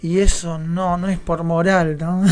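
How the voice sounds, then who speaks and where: A man's voice: high voice, flat delivery, a little slow, darkish tone. A man with a Rioplatense Argentine accent, giving reflective, digressive answers as a guest theatre director, often checking in with tag questions. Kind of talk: reflective radio interview talk.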